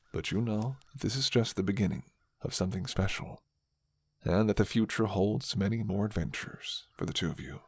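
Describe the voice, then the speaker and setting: A man narrating a scripted romantic ASMR story in a measured, sentimental way. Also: fairly low pitch, vocal fry, darkish tone, no echo.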